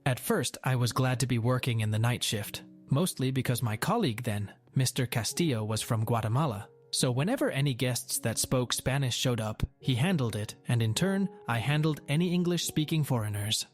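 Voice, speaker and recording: A man reads a first-person story in a steady, even way, his voice sitting at a middle pitch. Faint music plays quietly underneath the narration. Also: normal speed, few pauses. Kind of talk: scripted narration of a reddit story.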